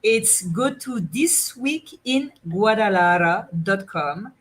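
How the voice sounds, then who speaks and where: A woman's voice: mid-range voice, lively intonation, slow pace. A woman with a French accent speaking as a radio guest, in earnest, simple phrasing. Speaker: guest, business founder. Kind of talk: casual interview chat.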